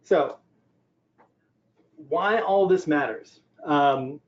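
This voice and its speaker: A man's voice: on the high side, drawn-out words. An older man giving a conversational presentation on business technology, explaining things by posing questions and answering them. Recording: dull, lo-fi audio.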